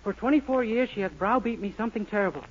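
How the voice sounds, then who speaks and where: Casting: actor as guileless boyish youth, high voice, quick earnest delivery, vintage radio comedy. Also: male voice, natural voice. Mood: reciting earnestly. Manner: innocent, guileless. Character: naive, earnest, boyish young man. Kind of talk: 1940s radio sitcom acting. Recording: muffled, noisy old radio recording.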